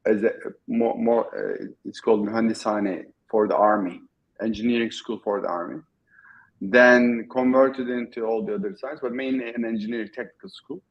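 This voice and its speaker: A man's voice: lowish voice, steady tone. Turkish-accented man on a dull call line. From Turkey. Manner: halting, many restarts and fillers. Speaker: guest. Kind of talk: casual interview talk.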